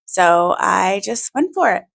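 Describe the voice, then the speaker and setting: A woman on a school staff, answering short interview questions in a casual, relaxed way. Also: mid-range voice, takes it slowly, vocal fry.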